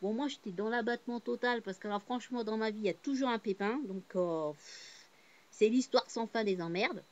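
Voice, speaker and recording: A woman's voice: fairly high pitch, fairly quick, lo-fi sound. A woman born in Portugal to a Cape Verdean family, telling a personal story in a casual, rambling way with many fillers and restarts. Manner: heartfelt, swings between subdued and animated.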